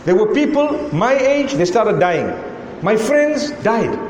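High-voiced Zimbabwean man preaching in an echoey hall. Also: very animated, slow pace, a little hiss or hum. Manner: rhetorical questioning, repeating "why" and "how come". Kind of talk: sermon.

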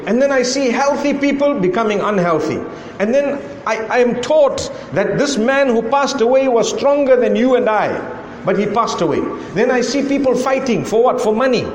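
A man's voice: very high-pitched, echoey room, in a large hall, a little hiss or hum. A man from Zimbabwe with a Zimbabwean accent, preaching a sermon to a live audience and pressing one rhetorical question after another. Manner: rhetorical questioning, repeating "why" and "how come".

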